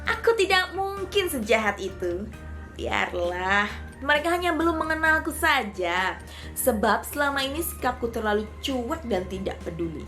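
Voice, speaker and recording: Lady doing a sneaky little mouse deer for a kids story, really high and swoopy, sweet talker. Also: big pitch swings, normal speed, fairly bright tone, faint music behind. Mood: falsely kind. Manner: coaxing, feigned innocence. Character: sly, boastful mouse deer trickster, sweet-talking. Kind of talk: children's storybook character voice.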